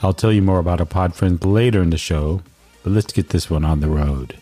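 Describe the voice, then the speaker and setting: Man, very low voice, warm dark tone, scripted upbeat podcast intro, faint music behind. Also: steady tone, fairly quick. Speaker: show announcer.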